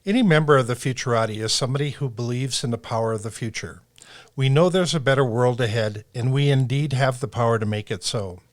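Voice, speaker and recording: A man's voice: mid-range voice, fast talker, no echo. A man hosting a podcast, reading a scripted show introduction in a polished way. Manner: read-aloud, polished delivery. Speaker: podcast host, futurist.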